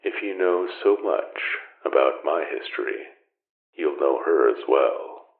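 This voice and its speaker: Audio drama: ancient male mentor, solemn, archaic, bottomless register, unhurried delivery, muffled distant sound. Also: a bass voice, lively intonation, exaggerated voice. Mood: quiet, knowing. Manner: formal, archaic, measured phrasing. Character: ancient solemn male magic-wielder, formal and secretive mentor. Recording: muffled narrow band, echoey, distant.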